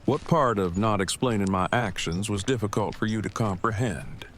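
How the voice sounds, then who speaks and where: A man's voice: lowish voice, normal speed, slight background noise, close to an everyday voice. A man playing a stiff, pedantic, earnest inventor in an audio drama, speaking in formal, wordy, precise sentences. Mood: curt, surly. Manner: formal, verbose, precise wording. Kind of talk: fan audio drama acting.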